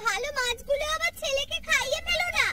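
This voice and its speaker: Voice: extremely high pitch, quick pace. A performer voicing a bossy, nagging older mother-in-law in a family cartoon, scolding and complaining. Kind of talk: cartoon voice acting. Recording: distant voice under loud music.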